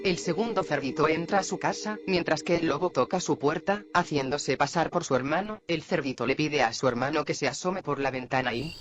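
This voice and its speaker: A man with a somewhat high-pitched voice narrates a video. He gives a story recap with commentary, describing events as they unfold.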